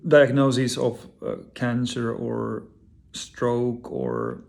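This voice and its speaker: A man with a Finnish accent, his voice deeply gravelly and crackling at mid pitch. He speaks English as a second language, earnest and halting, often pausing on fillers and repeating words as he addresses his viewers directly. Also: big pitch swings, darkish tone. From Finland. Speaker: vlogger. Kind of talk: personal direct-to-camera talk.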